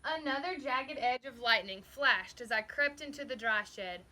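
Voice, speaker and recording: A woman reading a children's story aloud expressively, with deliberate, dramatic emphasis. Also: a little high, bright, forceful tone, rough recording. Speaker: storybook reader.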